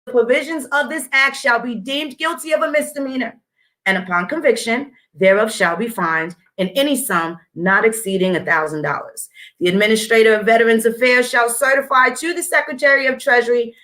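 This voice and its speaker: A woman with a high, sharp, bright voice talks straight at her audience in a blunt, swearing vlog rant. She mixes combative commentary with reading official text aloud. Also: brisk. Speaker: vlogger.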